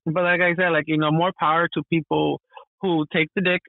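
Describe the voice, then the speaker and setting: A man with a high voice talks casually on a podcast, filling his sentences with "you know." His audio sounds thin and phone-like but dry. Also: fairly quick, gravelly, no room sound. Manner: relaxed, many fillers like "you know". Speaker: podcast co-host.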